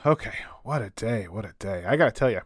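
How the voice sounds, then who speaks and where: A man playing a sympathetic, intrigued radio talk show host, speaking in a low-key, reassuring, conversational way. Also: expressive, quick pace, no echo, clean studio sound. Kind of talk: call-in radio show in an audio drama.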